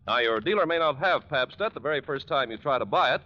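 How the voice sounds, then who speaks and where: A man's voice: medium pitch, animated, fast talker. A man reading a scripted 1940s radio advertisement as a polished, salesmanlike announcer. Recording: muffled 1940s radio broadcast recording.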